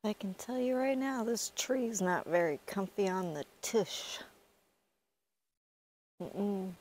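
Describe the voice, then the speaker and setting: A middle-aged woman chatting casually to herself while camping, a little stuffy, with soft murmured asides. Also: mid-range voice, slow pace. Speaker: camping vlogger. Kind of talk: casual solo vlog chat. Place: outdoors in pine woods.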